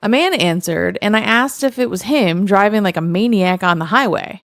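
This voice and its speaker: A woman's voice: mid-range voice, animated, normal speed, a bit bright, no room sound, studio quality. A woman narrating first-person stories in a wry, matter-of-fact way. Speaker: narrator reading online stories.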